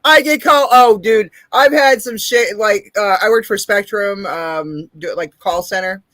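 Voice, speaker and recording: Blunt, deep-voiced woman host. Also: a little slow, a bit bright, no echo. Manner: blunt, profane, laughs often. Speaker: podcast host. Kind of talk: casual interview chat.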